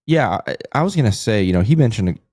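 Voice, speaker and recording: A man's voice: on the low side, sing-song, fast, a little mellow, slightly rough, no room sound. An American man chatting casually as co-host of a sports podcast.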